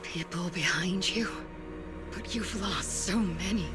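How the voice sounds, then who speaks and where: An actress voicing a stern, proud, world-weary female colonel and veteran mentor in a video game, grave and measured. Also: lowish voice, music in the background. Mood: grim, bitter. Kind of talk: dramatic English video game voice acting.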